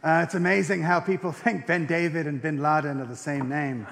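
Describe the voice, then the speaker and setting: A young man with an English accent recounts his personal story before an audience. His voice sits slightly high, and he keeps it light with wry, self-deprecating jokes and easy hesitations. Long reverb from a large hall trails his words. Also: fairly even intonation, brisk, creaky, background noise. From England and France. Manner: wry, self-deprecating humor, frequent ums. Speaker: speaker giving a testimony. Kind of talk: personal faith testimony to an audience.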